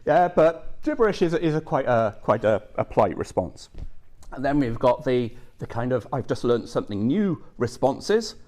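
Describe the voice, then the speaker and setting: Brief: middle-aged male academic presenter, conversational lecture delivery, mid pitch, keeps checking in with listeners. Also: big pitch swings, moderate pace, a little mellow. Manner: conversational, checks in with frequent "okay" and "yeah". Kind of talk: conference talk.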